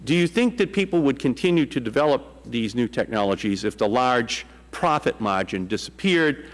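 A man's voice: mid-range voice, lively intonation, normal speed, big-room sound. A man in his sixties chairing a congressional hearing, asking questions with many fillers and restarts, speaking into a desk microphone in a large hearing room. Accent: Boston. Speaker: committee chairman.

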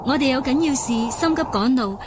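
A woman's voice: high-pitched, expressive, fairly quick. A woman playing an earnest, polite young Buddhist nun in a radio drama. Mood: polite concern. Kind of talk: Cantonese radio drama acting. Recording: clearly noisy radio drama soundtrack.

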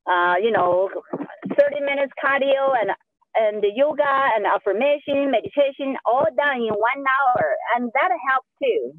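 A woman's voice: high-pitched, no room sound. A woman with a Sichuan Chinese accent, a native Sichuanese speaker, giving emphatic motivational advice as a real estate agent on a group call. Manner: emphatic, self-affirming. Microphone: video call. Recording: narrow-band phone or video call audio.

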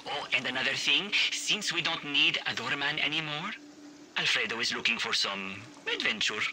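A man's voice: mid-range voice, expressive, normal speed, sharp tone, a little hiss or hum, poor audio. An actor playing a wry, teasing male rebel contact in a video game. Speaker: resistance ally on the radio.

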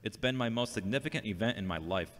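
Man acting low-voiced, earnest, self-doubting man, echoing hall. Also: quick pace, a bit bright, close to an everyday voice. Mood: downcast, self-critical. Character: earnest, self-doubting man recovering from brain injury, defensive. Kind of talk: scripted audio drama dialogue. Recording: long hall-like reverb.

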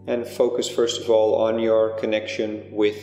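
A man leading a guided meditation, giving unhurried, hushed instructions with pauses between phrases. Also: on the low side, monotone. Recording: long echoing reverb, music underneath. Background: music.

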